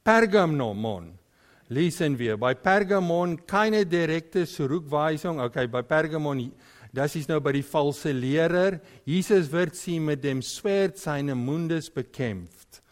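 A man preaching a sermon in German with an Afrikaans accent, Afrikaans being his first language, often pausing and searching for words. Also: fairly high pitch, expressive.

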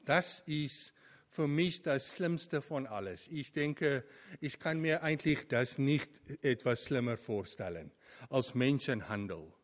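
A middle-aged man whose first language is Afrikaans, preaching an earnest sermon in German with slow, stressed phrases and small grammar slips. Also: medium pitch, animated. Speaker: preacher, former theology teacher.

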